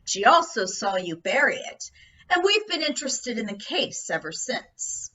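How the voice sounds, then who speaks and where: A woman's voice: a little high, sing-song, unhurried, heavy background noise. A woman acting a brash, folksy police captain in an old-time radio play, slyly probing with mock-casual questions. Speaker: actor in an amateur radio play.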